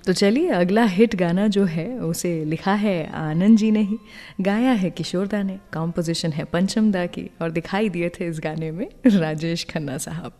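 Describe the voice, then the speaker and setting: A woman's voice: lowish voice, a little slow, mellow. An Indian woman hosting a radio show, telling stories and introducing songs conversationally, mixing in English words. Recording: long reverb, music behind voice. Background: background music.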